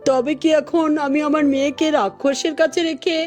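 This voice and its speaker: Casting: protective fatherly cartoon king, voiced by a woman, high pitch, earnest, music behind. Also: fairly even intonation, moderate pace, darkish tone, natural voice. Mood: indignant, resolute. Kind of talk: children's cartoon voice acting.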